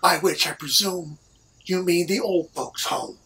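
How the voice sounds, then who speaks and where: An actor playing a pompous, irritable immortal devil who rules the underworld, in a husky voice in an audio comedy drama. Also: medium pitch, steady tone, unhurried, bright, forceful tone, heavily acted. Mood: irritated, worried. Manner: pompous, easily exasperated.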